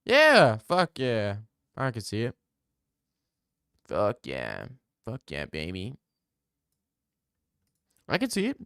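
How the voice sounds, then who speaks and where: A man's voice: lowish voice, wildly swooping pitch, slow, pauses often, fairly bright tone, clear, resonant tone, no echo, studio quality. A young man live-streaming from home on a desk mic, bantering loosely with his chat and swearing freely. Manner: loose, profane banter, reads chat aloud and riffs.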